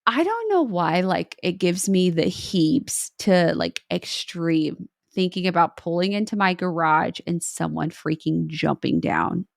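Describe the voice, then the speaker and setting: A woman's voice: fairly low pitch, animated, a little slow, creaky, hardly any pauses, no room sound, clean studio sound. A young woman co-hosting a podcast, chatting casually with lots of fillers, recorded remotely on her own mic. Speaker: podcast co-host. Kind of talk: casual chat.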